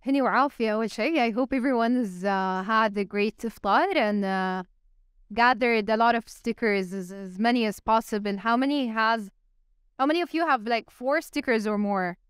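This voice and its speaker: Woman, young adult, panel moderator, polls the crowd, handheld mic, very clear voice. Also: on the high side, normal speed, no room sound, studio quality. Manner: engaging, polls the audience. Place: stage in a large event hall.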